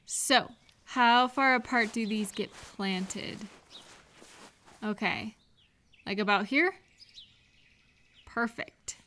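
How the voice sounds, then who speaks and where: An actress plays a caring woman friend in an intimate roleplay audio. Her voice sits a little high and moves at an unhurried pace. She is gentle and cheerful, asking attentive questions and leaving room for the answers. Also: full character voice. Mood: gentle, concerned. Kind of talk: scripted one-sided roleplay audio, addressed to the listener.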